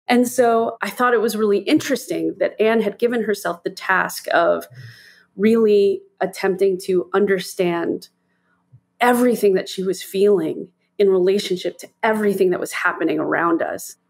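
A woman's voice: medium pitch, moderate pace, gravelly. A woman giving reflective interview commentary as a theatre professional.

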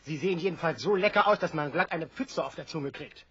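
An actor playing a hearty, grumbling but good-natured sailor with a rough, hoarse voice in a radio play. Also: male voice, on the high side, brisk, a bit bright, no echo, highs cut off. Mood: jovial. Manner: blustering, colloquial.

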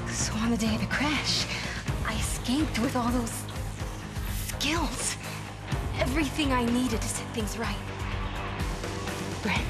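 An actress voicing a haunted, vengeful young runaway in an intimate, breathy, halting game monologue. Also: female voice, fairly high pitch, lightly acted. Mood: grimly resolved. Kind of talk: dramatic scripted monologue narration in a video game. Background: loud music.

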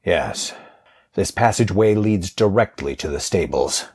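Husky, grave man, acted, stern guardian. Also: low voice, animated, measured pace, darkish tone, heavily acted. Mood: grim, urgent. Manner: grave, terse, resolute. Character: weary, stern, protective male guardian on the run. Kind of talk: audio drama acting.

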